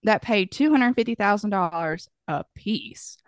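A woman's voice: medium pitch, expressive, measured pace, no room sound. A young woman co-hosting a podcast in casual chat, a bit nasal from a head cold, rambling and giggly. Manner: rambling, giggly, lots of likes and ums. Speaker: podcast co-host.

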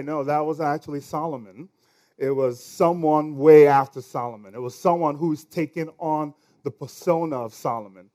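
Male pastor, Nigerian accent, slightly high voice, relaxed conversational preaching with restarts. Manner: conversational, stumbles over words, chuckles now and then. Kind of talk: sermon.

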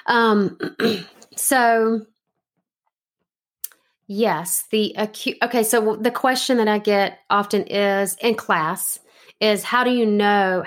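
Woman podcast host teaching home remedies in easygoing, explanatory chat. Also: medium pitch. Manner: instructive, relaxed, with many fillers.

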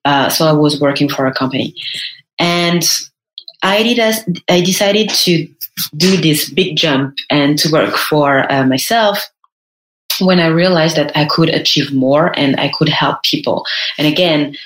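Slow, low-voiced woman. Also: fairly bright tone, reverberant, low-quality recording. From France. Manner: hesitant, many fillers and restarts. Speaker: guest, personal brand stylist. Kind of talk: casual interview chat.

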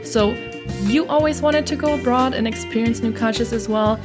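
A woman's voice: a little high, moderate pace. A woman hosting a podcast, chatting casually as an interviewer and affirming what is said. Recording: roomy echo, faint music.

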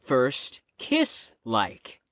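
A woman's voice: rumbling low, wildly swooping pitch, drawn-out words, a bit bright, no room sound, studio quality, exaggerated voice. A woman voicing a brash, teasing young male troublemaker in an audio drama. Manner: teasing, cocky, drawling.

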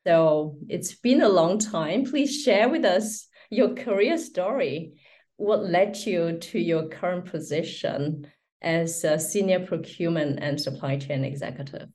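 Lower-voiced woman hosting a podcast. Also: a little slow, a little mellow. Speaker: podcast host. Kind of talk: podcast interview.

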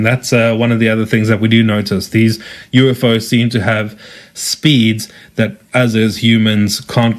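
South african guy doing a solo podcast, jokey and chatty, regular mid voice. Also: mid-range voice. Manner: chatty, joking, quick asides with laughs. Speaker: podcast host. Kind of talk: casual solo podcast talk.

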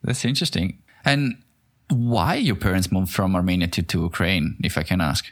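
A man's voice: deep voice, moderate pace. A man hosting a podcast, reading a scripted intro and then asking curious, self-deprecating questions in casual conversation. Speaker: podcast host.

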